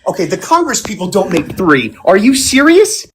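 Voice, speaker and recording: A man hosting a casual live-streamed panel debate, animated and given to loud exclamations of disbelief. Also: high-pitched, moderate pace, a little hiss or hum. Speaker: panel host. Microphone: phone or computer mic on a live stream.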